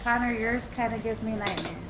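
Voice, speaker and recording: A woman chatting casually with friends while they play a game online, with animated bursts. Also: fairly high pitch, brisk, heavy background noise. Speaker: gaming guest. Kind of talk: casual gaming chat.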